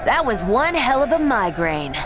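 A woman's voice: a little high, big pitch swings, moderate pace, music in the background, a crowd in the background. An actress playing a playful, confident female comrade in a video game, with a teasing, wry manner.

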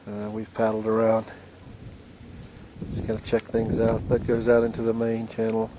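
A man with an American accent casually narrating his outing on a tidal creek, halting often with "uh", recorded outdoors on a handheld camera mic. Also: fairly low pitch, noisy background. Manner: relaxed, halting, many "uh" fillers.

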